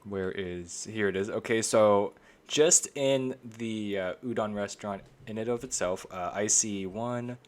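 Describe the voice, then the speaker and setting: A man co-hosting a casual podcast, offering teasing asides and halting as he looks things up. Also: lowish voice. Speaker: podcast co-host. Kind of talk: casual chat with trivia asides.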